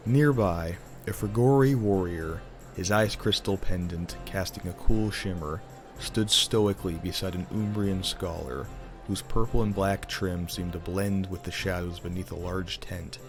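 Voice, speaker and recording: Deep-voiced man narrating a fantasy tale. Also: faint music behind. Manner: theatrical, deliberate. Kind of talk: dramatic scripted fantasy storytelling.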